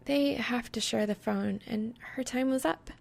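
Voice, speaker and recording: A woman voicing a young woman gamer who is caring, bossy yet shy, in a soft earnest way. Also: fairly high pitch, unhurried, creaky, voice pushed up, full character voice. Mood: quiet, wistful.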